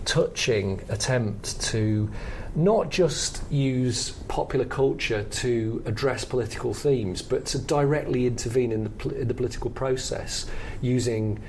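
Middle-aged British man, gravelly creak. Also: mid-range voice, unhurried, few pauses, darkish tone, a little hiss or hum, poor audio. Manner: reflective, hesitant, explanatory. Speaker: podcast co-host. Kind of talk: conversational analysis of comedy history.

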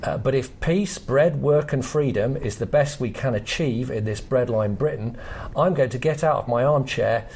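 A man's voice: medium pitch, moderate pace, hardly any pauses, a little mellow, slight background noise, close to an everyday voice. A man voicing an earnest, idealistic voter as he reads a political comic strip aloud. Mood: earnest, rousing.

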